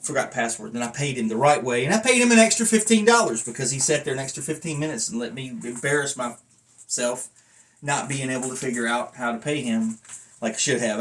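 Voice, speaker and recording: A man's voice: mid-range voice, a little fast, fairly bright tone, a little hiss or hum. A man talking casually to camera in a rambling review, with a blunt, self-deprecating manner. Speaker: vlogger and wrestling belt collector reviewing a belt.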